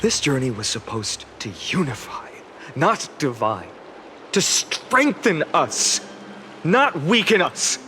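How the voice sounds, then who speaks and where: An actor playing a solemn young video game hero, giving a measured, earnest speech to a grieving city. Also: male voice, a little high, big pitch swings, sharp tone, slight background noise, heavily acted. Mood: grave, sorrowful.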